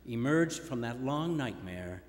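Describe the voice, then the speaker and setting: A man reading a written speech aloud in a formal, measured, oratorical way. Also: mid-range voice, animated. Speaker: reader in a staged performance. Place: large indoor hall or theatre. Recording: echoey hall with long reverb.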